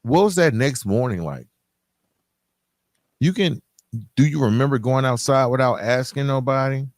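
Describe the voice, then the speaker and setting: A man's voice: mid-range voice, animated, normal speed, no room sound, studio quality. A middle-aged man hosting a podcast, talking straight to listeners in a reflective, emphatic monologue, often checking in as he goes. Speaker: podcast host.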